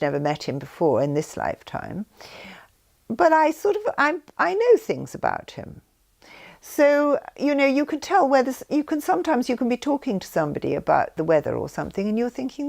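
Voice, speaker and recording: A woman's voice: medium pitch, creaky, darkish tone. A woman giving an informal explanatory talk with anecdotes, rambling and often breaking off to rephrase mid-sentence. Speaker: speaker sharing personal beliefs.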